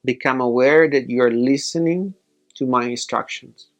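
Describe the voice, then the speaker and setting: A man leading a guided meditation as a teacher, giving measured instructions in short, separated phrases. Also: mid-range voice, soft background music.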